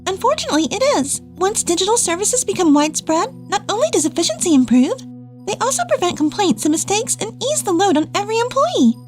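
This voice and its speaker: A woman's voice: extremely high pitch, big pitch swings, fast, soft background music. A woman playing an earnest, determined young businesswoman in a manga dub, speaking with insistent emphasis. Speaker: dub voice actor.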